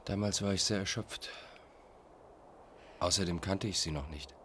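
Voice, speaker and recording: An actor in a German radio play playing a menacing, insistent fugitive who pleads and insinuates. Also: male voice, low voice, normal speed, fairly bright tone, a little hiss or hum. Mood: brazen, insistent. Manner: insistent, brazen, formal address.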